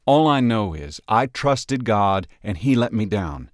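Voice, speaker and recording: A man voicing a grieving American man, low, weary and resentful. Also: very animated, unhurried, no room sound, studio quality. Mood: bitter.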